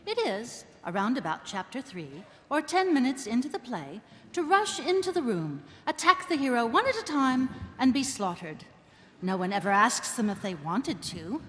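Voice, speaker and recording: A woman's voice: on the high side, big pitch swings, normal speed, a little hiss or hum. A middle-aged woman playing a wry storyteller, giving dramatic scripted narration in live radio theatre. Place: live convention stage. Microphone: stage microphone. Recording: echoey hall with loud music behind.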